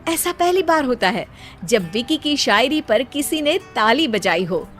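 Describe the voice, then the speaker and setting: A woman narrating a scripted comic story for an animated video. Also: high-pitched, unhurried, soft background music.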